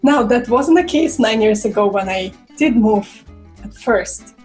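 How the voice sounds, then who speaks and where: Brief: young Russian-accented woman, fairly high voice, chatty vlog delivery, soft music bed. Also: lively intonation, a little slow. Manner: chatty, searching for words with fillers. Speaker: vlogger. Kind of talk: casual talk to camera.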